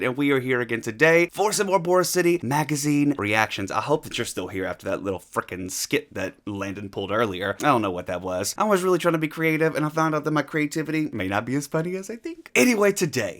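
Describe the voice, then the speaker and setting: A man's voice: on the high side, a bit bright. A man hosting a reaction video in a casual, effusive fan style, swearing freely as he comments. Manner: effusive, profane, talks to the video as he watches.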